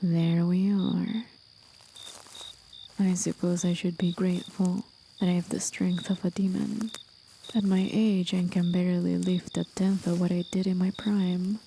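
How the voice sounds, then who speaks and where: Woman as a slow, whispering, gentle forest demon. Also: fairly low pitch, little pitch movement, slight background noise, rough recording. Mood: soft, coy. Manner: slow, soothing, breathy half-whisper. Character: gentle, aged, mysterious female forest demon with tail. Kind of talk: ASMR roleplay, whispered and intimate.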